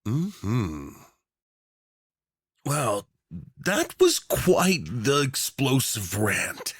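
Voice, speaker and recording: A man's voice: medium pitch, very animated, very slow, breathy edge, no echo, studio quality, heavily acted. A man playing a doting, unhinged rich tyrant in an obsessive romance roleplay, theatrical and saccharine, teasing with pet names. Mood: smug, amused. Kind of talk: yandere ASMR roleplay acting.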